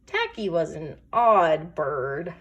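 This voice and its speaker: A woman storyteller reading a children's picture book aloud with expressive, deliberate emphasis. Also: sits low, breathy edge, muffled recording.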